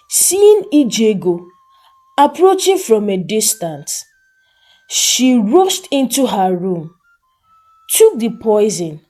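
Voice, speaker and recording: A woman narrating a folktale as a storyteller, with a measured, expressive and dramatic delivery. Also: mid-range voice.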